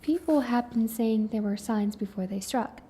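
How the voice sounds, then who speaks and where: A woman playing a composed official who interviews an invasion survivor, with soft, measured, polite questions in an ASMR roleplay. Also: a little high, husky. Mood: calm, businesslike.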